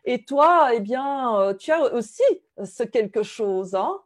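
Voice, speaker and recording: A woman hosting a video-call interview, upbeat, laughing often and pausing with fillers. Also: fairly high pitch, lively intonation. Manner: upbeat, with frequent laughs and hesitations. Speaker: interview host.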